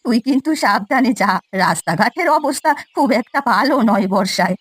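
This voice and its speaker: Woman voicing a plaintive old village granny, high-pitched. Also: expressive, brisk, husky. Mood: remorseful, grateful. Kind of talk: Bengali cartoon story voice acting.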